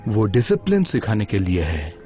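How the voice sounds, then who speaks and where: A man's voice: fairly low pitch, huge pitch swings, moderate pace. A man playing a stern, patient tai chi teacher in a dubbed cartoon, speaking in an instructive, scolding way. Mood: firm, instructive. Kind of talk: Hindi-dubbed animated film acting. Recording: dull lo-fi audio, faint music.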